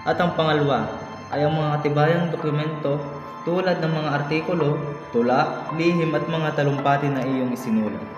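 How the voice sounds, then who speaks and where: A man's voice: a little high, fairly even intonation, moderate pace, muffled recording, close to an everyday voice. A man playing an earnest, commanding figure of colonial times in an amateur radio drama. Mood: formal. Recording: echoey hall, music behind.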